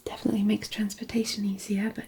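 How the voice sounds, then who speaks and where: A woman playing a kindly, attentive, gently playful fairy helper in a fantasy ASMR roleplay, soothing in a breathy near-whisper. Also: medium pitch, level tone, quick pace, slight background noise, natural voice. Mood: soothing concern.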